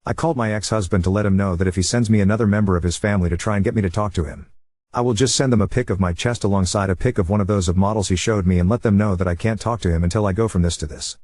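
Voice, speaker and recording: Male narrator, lowish voice, fast and matter-of-fact reading, dry close mic. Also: darkish tone, dry recording. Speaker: narrator reading a forum post. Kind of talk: reading a forum post aloud.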